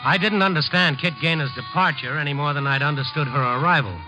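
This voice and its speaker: A man's voice: on the high side, fast, lightly acted. A man playing a wry, weary newspaper columnist in a 1950s radio drama. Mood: wry, rueful. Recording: dull 1950s radio broadcast, music behind.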